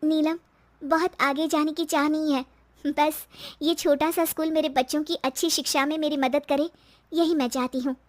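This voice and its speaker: A humble but determined young mother, played by a woman narrator. She speaks very high and earnestly, pleading gently in cartoon-story style. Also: steady tone, moderate pace, natural voice. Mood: earnest, conciliatory.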